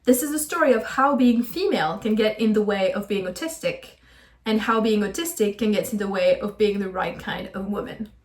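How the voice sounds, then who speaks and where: A friendly, enthusiastic woman talking about her reading plans in a casual vlog. Her voice sits in the middle of the pitch range, and she keeps the tone chatty, with small laughs now and then. The recording has a noticeable echo from the room. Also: a little fast. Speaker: book vlogger. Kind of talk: casual chatty book video. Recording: echoey room.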